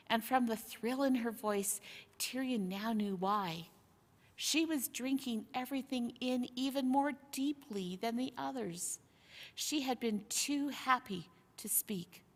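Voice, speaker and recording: Slow-reading woman storyteller in a reverberant hall. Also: on the high side. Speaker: reader. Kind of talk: storybook narration, read aloud.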